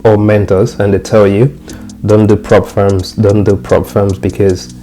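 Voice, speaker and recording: A young man from Cameroon with a lowish, mellow voice talks viewers through his trading dashboard in a loose, repetitive way, often circling back over the same phrase. Soft music plays faintly underneath. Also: flat delivery, a little slow. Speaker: trading channel host. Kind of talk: casual screen walkthrough.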